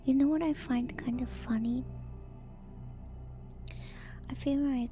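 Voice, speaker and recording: A woman streamer speaking in a soft, breathy ASMR whisper, reading aloud and adding casual, musing comments. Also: sits high, steady tone, slow. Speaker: streamer and host. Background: music.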